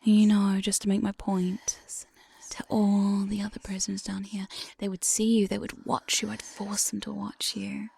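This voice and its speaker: A woman playing a teasing, domineering demon jailer in whispered ASMR roleplay, close on a binaural mic, slow, coaxing and mock-sweet. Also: medium pitch, monotone, a little mellow, a little hiss or hum. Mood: gleefully cruel. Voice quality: breathy whisper. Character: teasing, domineering demon mistress of a dungeon jail. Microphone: close binaural studio microphone.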